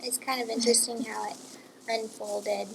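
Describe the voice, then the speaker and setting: Young woman with a gravelly, higher voice. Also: little pitch movement, moderate pace, background noise. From United States. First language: Romanian. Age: young adult. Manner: chatty, laughs often, frequent "um" and "like". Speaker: coffee shop co-owner, guest. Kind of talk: casual interview chat. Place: coffee shop.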